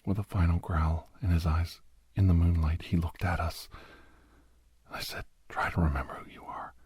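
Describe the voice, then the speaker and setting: Low, whispery man telling dark tales. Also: little pitch movement, dry recording. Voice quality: breathy, whispery. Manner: solemn, dramatic, deliberate. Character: male doctor solemnly recounting a werewolf horror tale. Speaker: storyteller. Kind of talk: whispered ASMR horror storytelling. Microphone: close microphone.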